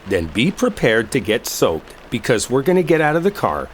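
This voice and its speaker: A man's voice: mid-range voice, moderate pace, close to an everyday voice. A man acting in a roleplay audio drama as an exasperated, sarcastic guy stranded with a coworker he dislikes. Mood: exasperated, insistent. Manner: sarcastic, heated, swearing. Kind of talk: roleplay audio drama, one side of an argument. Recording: steady rain noise behind voice. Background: rainstorm ambience.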